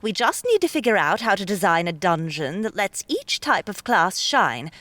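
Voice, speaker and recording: Animated bossy elf woman. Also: mid-range voice, normal speed, no room sound. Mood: assertive. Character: loud, assertive female elf mage, bossy schemer. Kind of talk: audiobook character acting.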